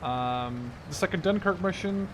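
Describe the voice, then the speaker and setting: A man's voice: high-pitched, animated, measured pace, a little hiss or hum. A man in his twenties giving casual gameplay commentary, thinking aloud informally as he plays. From Netherlands. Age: 20s. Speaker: gaming video host.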